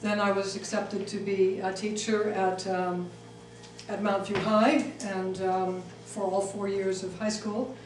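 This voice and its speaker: A woman's voice: medium pitch, level tone, a little slow, darkish tone. A middle-aged woman raised in Switzerland, giving a talk and taking audience questions, with many ums and restarts. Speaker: speaker. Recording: echoey room, mic far off, faint noise.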